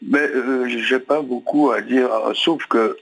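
A man calling in by telephone to a radio show, asking a question haltingly with many hesitations. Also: medium pitch, fairly even intonation, poor audio. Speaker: caller.

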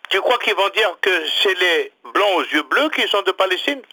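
Man, radio debater, insistent, bright tense voice, lively swooping pitch. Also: normal speed, poor audio. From not from France. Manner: insistent, presses with repeated questions.

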